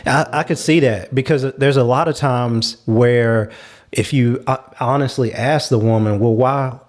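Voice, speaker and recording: A man's voice: mid-range voice, steady tone, measured pace. Earnest man explaining his view. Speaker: panelist on a talk show. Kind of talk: casual group discussion.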